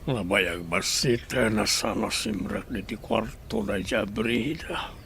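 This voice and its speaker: Man acting a slow, gravelly, ailing master. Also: lowish voice, a bit bright, a little hiss or hum, full character voice. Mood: weary. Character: ailing, bedridden master of the house. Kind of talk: Ilocano radio-style drama.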